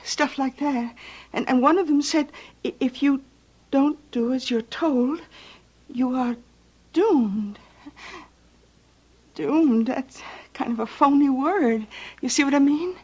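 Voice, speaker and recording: A woman's voice: high voice, a little mellow. An actress playing a weak, stammering young woman recovering from poisoning in an old-time radio drama. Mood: earnest, frail. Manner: halting, stammering. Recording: muffled old radio recording.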